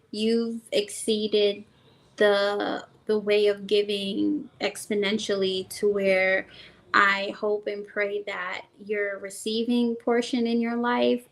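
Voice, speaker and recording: A woman's voice: mid-range voice, level tone, gravelly, low-quality recording. A woman from Jamaica with a Jamaican accent, a guest on a talk show, heaping effusive praise on the host. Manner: slow, warm praise, effusive. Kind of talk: casual chat show talk.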